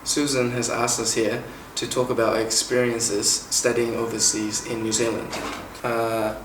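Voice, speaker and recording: A man in his twenties from China talks through a casual question-and-answer session, measured and pausing often with "uh." He is recorded from a little way off in a noticeably echoey room. A faint background hiss sits under his voice. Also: mid-range voice, monotone, recorded from a distance. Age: 20s. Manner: measured, with frequent "uh" pauses. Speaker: interviewer and former international student. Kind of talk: informal Q&A, explaining and asking questions.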